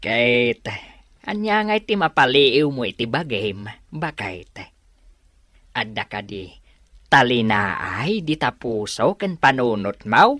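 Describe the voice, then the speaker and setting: A woman playing a gentle old farmer husband in an Ilocano audio drama, drawling with an Ilocano accent. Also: very deep voice, big pitch swings, no echo, clean studio sound, full character voice. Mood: gentle, encouraging. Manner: slow, drawling, full of interjections. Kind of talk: Ilocano radio drama acting.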